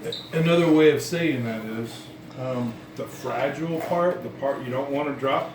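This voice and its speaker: Male, casual hands-on explainer, halting delivery, off mic in an echoey, noisy room. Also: medium pitch, far from the mic. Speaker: musician demonstrating PA gear. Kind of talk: casual explanatory demonstration chat. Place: dining room at home, indoors. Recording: roomy, noisy home recording off mic.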